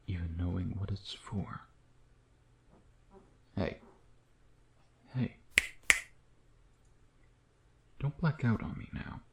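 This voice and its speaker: A man playing a smug, flirtatious vampire who dotes on his prey in an intimate ASMR roleplay, teasing and murmuring with breathy asides. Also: on the low side, warm tone. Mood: teasing, soft, then coaxing.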